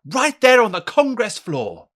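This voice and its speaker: An actor playing an indignant, hot-headed young superhero in an audio drama, husky and emphatic, with an accusing manner. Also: male voice, very high voice, huge pitch swings, a little slow, fairly bright tone, exaggerated voice. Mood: alarmed, insistent.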